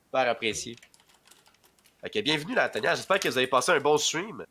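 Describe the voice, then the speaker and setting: A man's voice: medium pitch, expressive, fairly quick, sharp tone. A young man from rural Quebec with a Québécois accent, stuffy from a head cold, chatting casually as a podcast guest and rambling through lots of fillers. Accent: Québécois French. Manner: rambling, hesitant, with many fillers. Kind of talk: casual chat.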